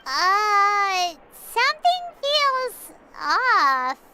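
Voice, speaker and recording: A woman's voice: very high-pitched, very animated, drawn-out words, bright, forceful tone, slight background noise, full character voice. A woman voicing a tiny, childlike, cheerful fairy companion in a video game, chirpy, informal and inquisitive. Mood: eager.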